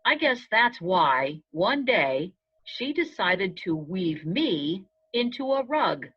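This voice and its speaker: A woman narrating a children's storybook aloud in the first person, in a measured storytelling manner. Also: mid-range voice, big pitch swings, breathy edge. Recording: dull, lo-fi sound.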